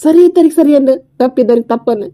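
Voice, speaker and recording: A woman's voice: sits high, animated, quick pace, mellow. A woman playing a blunt but concerned grown son in a family drama, insistent and questioning, with a North Karnataka accent. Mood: anxious pleading. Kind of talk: melodramatic family drama acting.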